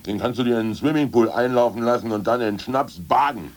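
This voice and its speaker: An actor playing a gruff jailed ex-party boss in a German radio play, cynical yet secretly sentimental, with a gravelly, husky delivery. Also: male voice, medium pitch, moderate pace, darkish tone, lightly acted. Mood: confidently boastful.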